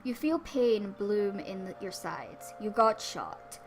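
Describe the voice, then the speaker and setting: A woman's voice: medium pitch, very animated, takes it slowly, soft background music. A woman reading a visual novel aloud as a let's play host, dropping in casual asides. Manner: informal asides between read passages.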